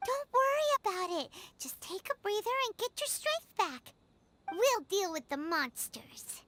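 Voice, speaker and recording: Childlike fairy girl, extremely high voice, unhurried, cute and exaggerated, chirpy video game companion. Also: slight background noise, full character voice. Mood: worried, caring. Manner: expressive, cute, exaggerated delivery. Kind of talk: English video game voice acting.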